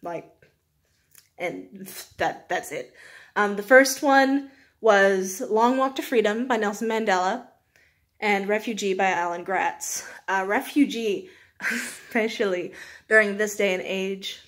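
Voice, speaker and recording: A woman's voice: medium pitch, slow pace, fairly bright tone. A young woman chatting casually as she reviews books, rambling in an informal, self-deprecating way with small laughs. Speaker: book reviewer, video host.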